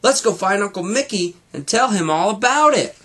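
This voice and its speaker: Young cartoon boy mouse, played by a man: eager, curious, exclaiming, high and bouncy. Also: expressive, normal speed, bright, forceful tone, a little hiss or hum. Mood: excited.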